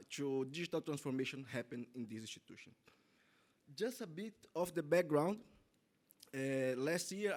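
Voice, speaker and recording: Brazilian guy giving a conference talk, portuguese native, voice a bit high, keeps restarting his sentences. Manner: halting, many restarts and self-corrections. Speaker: conference presenter. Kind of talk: conference presentation.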